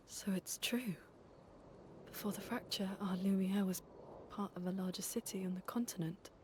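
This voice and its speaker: A woman voicing a determined, thoughtful young expedition leader in a video game cutscene, focused and gentle in her questions. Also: mid-range voice, fairly even intonation, normal speed, background noise, lightly acted. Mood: focused, resolute. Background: music.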